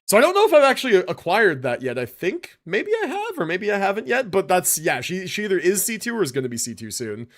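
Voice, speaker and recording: Higher-pitched man streaming games, lively intonation. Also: fast talker, few pauses. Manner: relaxed slangy talk, thinking aloud, reading stats and chat aloud. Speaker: livestream host reviewing game builds. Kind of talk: casual gaming livestream commentary.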